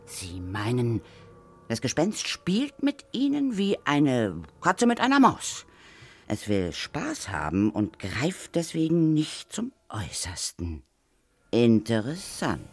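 A woman playing a brisk, reassuring ghost hunter with a matter-of-fact, insistent manner in a children's audio drama. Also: extremely low pitch, wildly swooping pitch, a little mellow, breathy edge, dry recording, heavily acted. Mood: musing.

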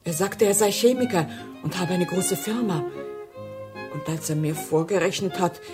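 A woman's voice: on the low side, normal speed, music in the background, lightly acted. An actress playing an earnest, deceived woman who gives precise testimony in a radio crime drama. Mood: earnest, regretful. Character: earnest deceived woman giving testimony about her swindler.

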